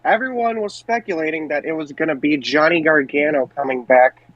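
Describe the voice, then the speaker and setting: A man's voice: fairly high pitch, a little fast, fairly bright tone, dry recording. A man co-hosting a podcast, chatting casually about pro wrestling on a dull, lo-fi mic. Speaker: podcast co-host.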